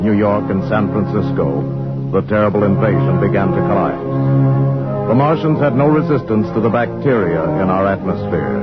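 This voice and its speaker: Male actor as solemn, authoritative narrator, measured and portentous, muffled old recording with loud orchestral music. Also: fairly low pitch, lightly acted. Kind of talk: vintage dramatic scripted narration. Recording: muffled vintage recording under loud score.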